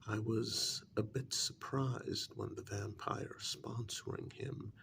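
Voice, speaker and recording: A man playing a reasonable, hesitant confidant to vampire nobility in a soft-spoken ASMR role-play, his soft voice often dropping into whispers. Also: on the low side, steady tone, vocal fry, slight background noise, heavily acted. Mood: calm, pensive. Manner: hesitant, measured, gently reasoning.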